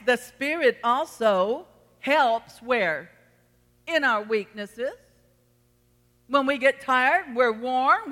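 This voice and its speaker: A woman's voice: high-pitched, fairly bright tone. A woman preacher with a Texan accent delivering a sermon to a congregation, emphatic, repeating phrases and posing rhetorical questions. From Texas. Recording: reverberant hall.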